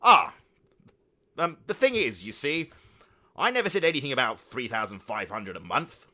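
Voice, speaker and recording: A man's voice: medium pitch, very animated, fairly quick, bright, forceful tone, voice pushed up. A man voicing a creepy, leering old uncle. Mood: evasive.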